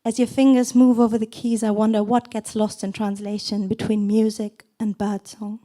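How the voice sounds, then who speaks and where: A woman whose first language is not English reads her own poetry to a live audience. Her delivery is soft and measured, with an even, middle-pitched voice. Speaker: poet reading at a book presentation. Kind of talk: poetry reading with brief asides.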